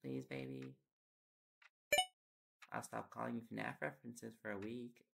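A very creaky, fry-heavy young male voice acted by a man plays a fond, teasing boyfriend in an audio roleplay. He chats and coaxes playfully in an intimate bedtime style. Heavy noise and clicking run behind him. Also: mid-range voice, very animated, measured pace, heavily acted. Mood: pleading. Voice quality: gravelly, creaky. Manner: playful coaxing, chatty. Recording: loud background noise with clicks. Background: ticking and clicking sounds.

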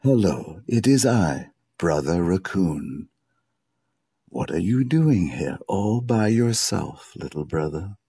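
Storybook raccoon guide: deep and gravelly, unhurried, kindly and reassuring. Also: male voice, sing-song, dark tone, full character voice. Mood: friendly. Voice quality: gravelly, husky. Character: large, kindly, slow-talking male raccoon guide. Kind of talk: storybook character acting.

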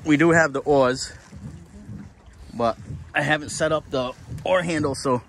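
A man's voice: fairly high pitch, measured pace. A middle-aged man casually narrating his sailing trip, talking into a phone held at arm's length while out on a small sailboat on a lake. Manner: relaxed, chatty, laughs easily. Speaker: sailing vlogger. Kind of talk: casual vlog narration and voiceover. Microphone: phone held at arm's length, no wind muffler. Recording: wind and water noise outdoors.

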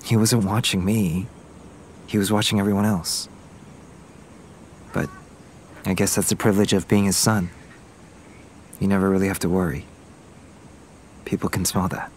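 A vulnerable young man acted by a man in a romantic audio drama speaks in a low voice. He is earnest and hesitant, with ambient noise around him. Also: level tone, moderate pace, long pauses, natural voice. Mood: tender, reflective. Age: young adult. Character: vulnerable young Korean heir back from military service. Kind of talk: naturalistic audio drama acting.

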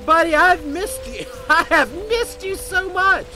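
A man's voice: very high voice, expressive, unhurried, over music. A man improvising first-person video game roleplay in an emotional, dramatic way, repeating short exclamations. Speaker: gaming video creator.

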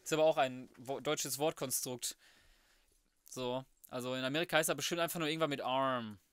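A man's voice: medium pitch, fairly quick, fairly bright tone, clean tone, no echo, clean studio sound. A man giving casual let's play commentary as a gaming host, talking to himself in flippant, colloquial slang. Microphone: close microphone, voice-over.

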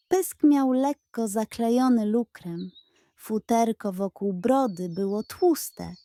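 A woman reading a scripted children's bedtime story with expressive, playfully dramatic narration. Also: medium pitch, no room sound.

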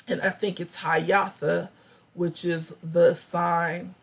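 A woman with a hoarse, raspy voice, still getting over laryngitis, teaching a scripture study lesson over slides and thinking aloud with many ums. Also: fairly low pitch, fairly even intonation, drawn-out words.

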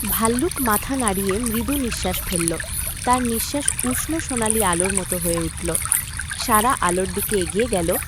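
High-pitched man storyteller over watery noise. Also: normal speed. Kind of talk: scripted children's story narration. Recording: clear watery noise behind.

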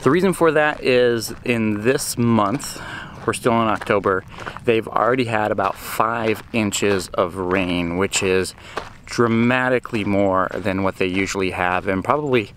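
A man's voice: lowish voice, measured pace, a little hiss or hum. A man in his forties hosting a casual travel vlog, talking to a camera held at arm's length outdoors. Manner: relaxed, chatty, explains details to camera. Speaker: vlog host. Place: outdoors on a desert trail.

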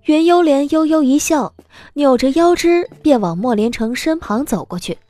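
A woman narrating a scripted audio drama in an expressive storytelling manner. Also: high voice, moderate pace, dry recording. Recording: music bed behind the voice.

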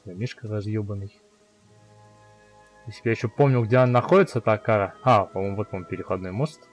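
A man giving casual, sweary let's play commentary on a fantasy game, excitable in the way he talks. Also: on the low side, fast talker, lo-fi sound, soft background music. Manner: foul-mouthed, excitable. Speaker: gamer playing a fantasy game.

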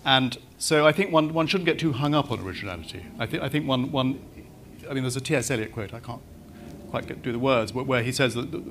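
A man's voice: medium pitch, fast, fairly bright tone. A middle-aged man speaking on a festival panel with a mid-range voice. He thinks aloud, restarting phrases as he hunts for the right words. Faint room noise sits under his voice. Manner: thoughtful, searching for words, many restarts. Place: large marquee with audience.

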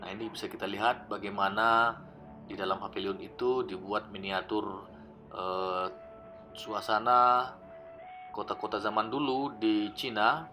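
Low-voiced man narrating over music. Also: unhurried, long pauses. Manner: relaxed, explanatory, frequent hesitation fillers. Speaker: travel vlogger narrating his old footage. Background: background music.

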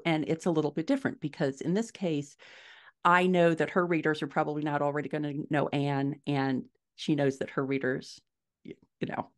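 A middle-aged American woman explaining things conversationally as an interview guest, thinking aloud on a video call from home. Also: deep voice. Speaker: guest author and podcaster. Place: living room at home, indoors, on a video call.

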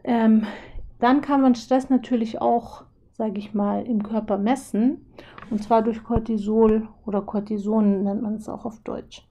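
Young woman, hoarse from a cold, kinda high voice but mellow, chatty explainer w lots of ähms. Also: fairly even intonation. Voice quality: husky. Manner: chatty, many filler pauses. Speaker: video host. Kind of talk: casual explanatory talk over drawings.

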